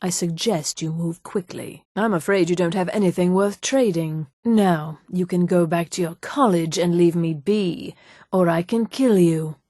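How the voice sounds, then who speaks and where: A woman voicing a haughty high elf in a video game, speaking in a formal, measured, imperious way. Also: warm tone, dry recording, clean studio sound. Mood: cold, menacing. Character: haughty female high elf mage and guard. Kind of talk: video game character dialogue.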